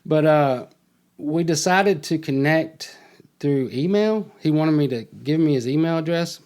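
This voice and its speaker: A man's voice: fairly high pitch, unhurried, a little mellow. Middle-aged man telling a personal story. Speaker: podcast guest telling his life story.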